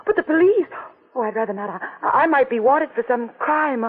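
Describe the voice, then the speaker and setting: A woman's voice: high voice, animated, fairly quick, slightly rough. A frightened amnesiac young woman. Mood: pleading. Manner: anxious, breathless, pleading. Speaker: detective's client. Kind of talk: 1940s radio acting. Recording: tinny old radio broadcast.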